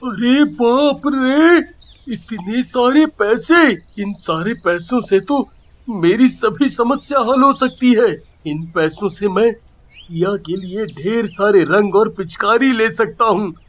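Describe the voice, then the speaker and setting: Man acting a high-voiced, humble, pleading poor father. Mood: astonished. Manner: pleading, halting with repeated words. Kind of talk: Hindi moral story cartoon acting.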